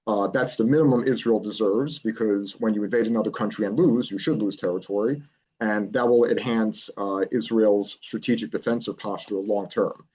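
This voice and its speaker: A man as a political consultant guest, explaining a plan in measured speech with frequent pauses. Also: on the low side, darkish tone, breathy edge, muffled recording. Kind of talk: remote interview, explaining a plan.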